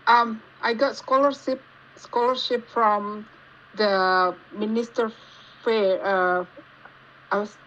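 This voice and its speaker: Indonesian accent woman, podcast guest, halting speech, muffled lo-fi sound w a bit of noise. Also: mid-range voice. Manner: halting, searching for words in non-native English. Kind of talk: casual interview answers. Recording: dull lo-fi call with faint noise.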